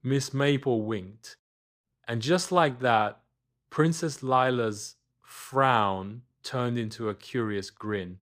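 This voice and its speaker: Slow-paced man narrating a bedtime story. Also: mid-range voice, a bit bright.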